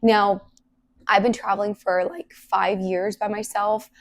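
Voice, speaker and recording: A young woman hosting a solo podcast, her voice hoarse and partly lost from illness, talking casually and earnestly with lots of "like" fillers. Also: mid-range voice, little pitch movement, measured pace. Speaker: podcast host.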